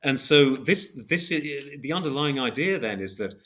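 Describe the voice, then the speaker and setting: A man giving an academic explanation in an interview, measured and hesitant, working step by step through examples. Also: mid-range voice, lively intonation, fairly bright tone. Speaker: podcast guest, philosopher of biology.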